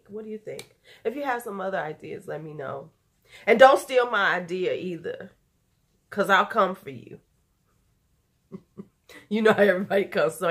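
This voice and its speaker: A woman in her forties with a stuffy, nasal voice, losing her voice, chatting loosely and drifting off topic in an unedited beauty vlog from home. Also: lowish voice. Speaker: beauty YouTuber.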